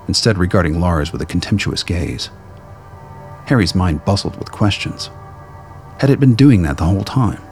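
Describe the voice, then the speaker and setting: Very deep, gravelly male narrator. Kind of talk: scripted dramatic narration of a radio play.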